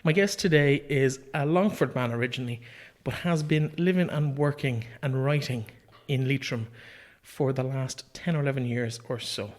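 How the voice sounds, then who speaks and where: A man's voice: medium pitch, normal speed, few pauses. A man with an Irish accent and a mid-range voice hosts a podcast. He speaks conversationally, putting questions to his guest as an interviewer.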